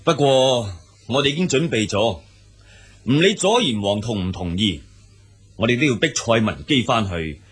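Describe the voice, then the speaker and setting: Guy doing a blunt scornful steppe noble, cantonese accent, husky, lively pitch, radio play style. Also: moderate pace. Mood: resolute. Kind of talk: Cantonese audio drama acting.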